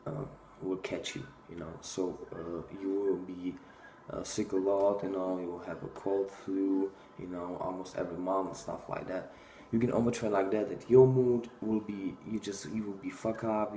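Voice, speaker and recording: A man's voice: deep voice, warm tone, reverberant, slight background noise. A man in his thirties with a Czech accent, talking bluntly and casually to a phone camera in a small room, with many fillers. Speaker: fitness vlogger. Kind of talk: casual talking-head monologue.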